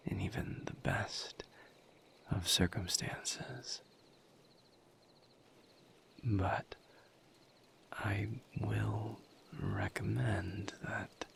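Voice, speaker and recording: A man playing a calm, gentle, formal misery demon in an intimate roleplay audio, with a hushed, breathy voice and measured, deliberate phrasing. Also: lowish voice, exaggerated voice. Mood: patient, gentle. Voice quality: hushed, breathy near-whisper. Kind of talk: soft-spoken roleplay audio, intimate monologue to listener.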